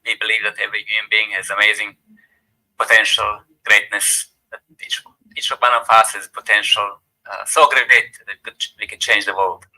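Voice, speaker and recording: A man's voice: on the low side, little pitch movement, rough recording. Croatian-accented man giving formal thanks. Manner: halting, repeats words, warm and gracious. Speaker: speaker giving vote of thanks. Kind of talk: formal closing remarks at an event.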